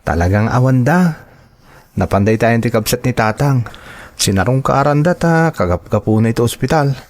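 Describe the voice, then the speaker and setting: An actor plays a young man coaxing his sweetheart in an audio drama. His voice sits at a middle pitch and the recording is very dry. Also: lively intonation, normal speed, no room sound, lightly acted. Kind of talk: Ilocano audio drama acting.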